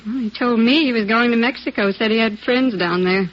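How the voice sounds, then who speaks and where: A woman's voice: fairly high pitch, brisk, close to an everyday voice. An actress playing a polite, talkative, self-assured businesswoman in a 1950s radio drama, with a genteel, earnest manner. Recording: muffled vintage radio recording.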